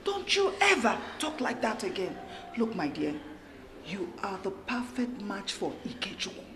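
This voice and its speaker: A woman's voice: mid-range voice, wildly swooping pitch, moderate pace, background noise. An actress playing a middle-aged mother who comforts a young woman and scolds her son. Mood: soothing. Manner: soothing yet forceful, insistent. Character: reassuring mother pushing her son to marry. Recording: roomy sound with music behind.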